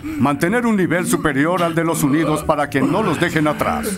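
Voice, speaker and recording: An actor playing a stern, demanding middle-aged soccer coach who speaks in commanding speeches in a cartoon dub. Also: male voice, on the high side, a little fast, close to an everyday voice. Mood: instructive. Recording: music behind.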